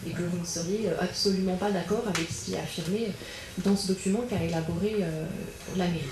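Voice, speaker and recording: A woman speaking as an invited regional councillor at a public political meeting, in halting phrases with many filled pauses. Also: fairly low pitch, fairly even intonation. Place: indoor public meeting room. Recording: roomy echo, audible background noise.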